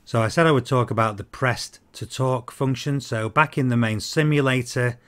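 A man in his fifties explaining software in an informal tutorial, hesitant and halting, with frequent ums. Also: medium pitch. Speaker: presenter of a flight simulator tutorial series.

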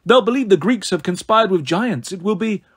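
A man acts out a cold snow goddess from a fantasy storybook. The voice sits high and stays soft, gentle and purring. She is haughty and condescending, taunting with cruel, silky smugness. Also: expressive, normal speed, breathy edge. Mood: gloating, condescending. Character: beautiful cold snow goddess, haughty, sadistically smug villainess.

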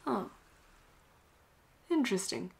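A woman acting a flirty, dominant and cocky female pirate captain in a roleplay audio drama, teasing and breathy, often dropping toward a whisper. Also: mid-range voice, animated, slow pace, full character voice. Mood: suspicious, commanding. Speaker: voice actor.

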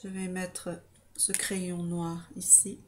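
Woman, 40s, slightly congested; soft, slow, hesitant makeup-tutorial host on a close webcam in a small room. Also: lowish voice, level tone, noisy background. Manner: soft, hesitant, talks while applying makeup. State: slightly stuffy nose from a cold or allergy.

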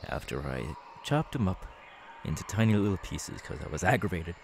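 A man playing a young, unhinged masked serial killer in an ASMR audio roleplay, creaky and halting, muttering with erratic, twitchy menace. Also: sits low, very animated, slight background noise, heavily acted. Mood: brooding, halting. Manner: halting, muttering, sudden tics and hoots.

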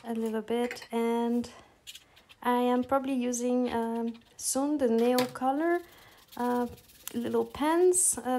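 A middle-aged woman from Quebec whose first language is French, speaking English with a Quebec French accent as she calmly talks through her art process with many pauses and fillers. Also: a little high, gravelly. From Quebec, Canada. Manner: relaxed, hesitant, many fillers and restarts. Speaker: artist demonstrating her process. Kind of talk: casual voice-over tutorial.

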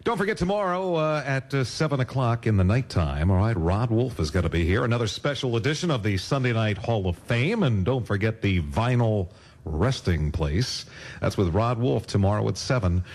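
A man's voice: lowish voice, animated, normal speed, darkish tone. A man working as a radio DJ, giving jokey, folksy patter in an upbeat oldies style. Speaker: radio DJ and host. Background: music.

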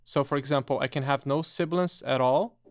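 A man presenting a step-by-step software tutorial in a methodical, explanatory way. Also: medium pitch, fairly quick.

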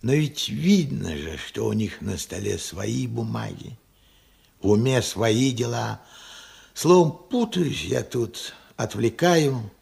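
An actor playing a regretful, stubborn old working man in a radio play, plain-spoken and reflective, with halting speech. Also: medium pitch, animated, a little mellow, full character voice. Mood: weary, resolved. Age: elderly. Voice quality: husky, creaky old voice. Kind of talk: Soviet radio play acting.

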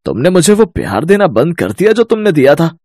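Cartoon family patriarch, acted by a man: middle-aged, anxious, earnest, husky, dry sound. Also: fairly high pitch, big pitch swings, a little fast, darkish tone, no room sound, pitched above the performer's own voice. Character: infatuated, anxious middle-aged father-in-law, later remorseful.

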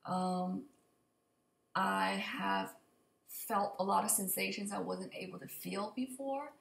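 A young woman talks casually to her computer at home, feeling her way through an unplanned update. Her voice is a little raspy from allergies and often drops into creak. She speaks slowly and hesitantly, and the room adds a clear echo. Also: mid-range voice, fairly bright tone. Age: young adult. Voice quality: slightly raspy. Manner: hesitant, halting, rambling. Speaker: vlogger. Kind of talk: unscripted casual update to camera. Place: indoor open kitchen and living room. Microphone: computer mic at desk distance. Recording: echoey room, computer mic.

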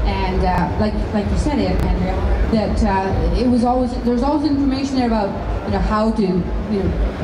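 A woman in her fifties speaking on an expo panel, holding a handheld mic, talking in a rambling way with many fillers and restarts. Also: mid-range voice. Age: 50s. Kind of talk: informal talk at an expo panel. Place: large dark hall with a stage and screen. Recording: echoey hall with clear background noise.

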